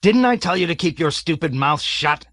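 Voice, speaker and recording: A man voicing a bloated, blustering army colonel who roars and hectors in a raised, loud voice. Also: mid-range voice, very animated, unhurried, dry recording. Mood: bellowing, bullying. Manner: roaring, hectoring, sarcastic. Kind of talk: audiobook character voice.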